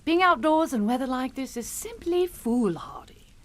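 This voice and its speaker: An actress playing a proper middle-aged matron in a suspense drama, fussy and theatrically concerned. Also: female voice, sits high, lively intonation, normal speed. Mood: fussing. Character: proper, fussy English matron, mothering and controlling. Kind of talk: radio suspense drama acting.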